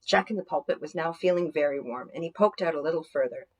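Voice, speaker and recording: A woman storyteller reading scripted narration for children in an expressive, deliberate manner. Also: low-pitched, husky.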